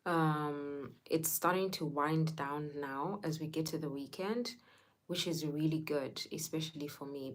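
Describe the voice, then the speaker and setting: A young woman from Zimbabwe hosting a casual livestream, chatting with viewers, greeting them by name and laughing often. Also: low voice. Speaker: livestream host.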